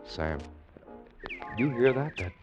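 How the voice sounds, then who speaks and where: A brooding American rocket captain in an old radio play, theatrical and poetic, yet able to snap into official orders. The recording is dull and vintage, with music underneath. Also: male voice, medium pitch, sing-song, unhurried, a little mellow. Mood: awed disbelief. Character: brooding male rocket ship captain, uneasy, poetic, commanding. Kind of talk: old-time radio drama acting. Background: dramatic background music.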